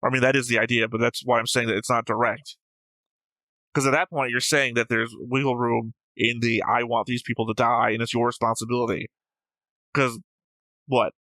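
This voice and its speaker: A man's voice: mid-range voice, level tone, moderate pace, bright, forceful tone, dry recording, low-quality recording. A man hosting a reaction show in casual discussion, talking in an argumentative, rambling way and often correcting himself. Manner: argumentative, digressive, self-correcting. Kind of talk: casual discussion, reading out viewer comments.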